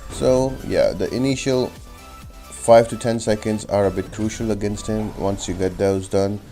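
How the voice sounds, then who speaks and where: Low, mellow man narrating a game guide. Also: normal speed, faint music behind. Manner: explanatory, step-by-step instruction. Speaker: video game guide host. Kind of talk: casual gameplay tutorial commentary. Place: voice-over on gameplay video.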